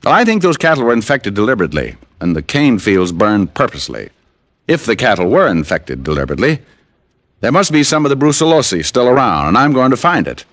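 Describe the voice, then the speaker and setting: An actor playing a hard-boiled American private detective in an old-time radio drama, wry, clipped and pushy. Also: male voice, mid-range voice, sing-song, quick pace, fairly bright tone, dry recording. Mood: cool, pressing.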